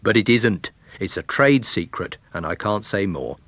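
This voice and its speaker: A man's voice: on the low side, expressive, moderate pace, fairly bright tone, dry recording. A man voicing a brash, chummy travelling perfume salesman in a story reading, with boastful, confiding patter.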